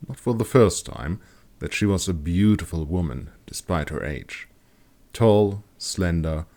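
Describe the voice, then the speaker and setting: A man's voice: low-pitched, a little mellow. A man reading a scripted fantasy story as an audiobook narrator. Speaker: author narrating own audiobook.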